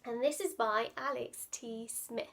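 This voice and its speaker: A woman reading a children's picture book aloud, dramatic and playful, often dropping into hushed asides. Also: on the high side, steady tone, a little slow, vocal fry. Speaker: children's storyteller.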